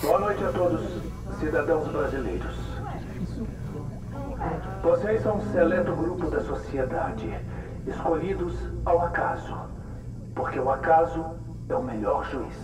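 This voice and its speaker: An actor playing a grandiose villain addressing the nation in an audio drama, pompous and declamatory. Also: male voice, a little high, a little slow, long pauses, music in the background, close to an everyday voice. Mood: grand, menacing. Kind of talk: theatrical broadcast speech in audio drama. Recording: distant, echoey, noisy address.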